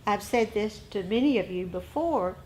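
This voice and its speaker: A woman meditation teacher giving a dharma talk to a group, speaking deliberately and haltingly, with pauses between short phrases. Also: medium pitch, lively intonation, a little mellow, a little hiss or hum, poor audio.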